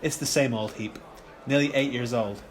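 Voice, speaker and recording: A man's voice: medium pitch, fairly even intonation, normal speed, close to an everyday voice. A man acting a polite, uneasy young son in a radio play, speaking in a hesitant, placating way. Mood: weary, restrained.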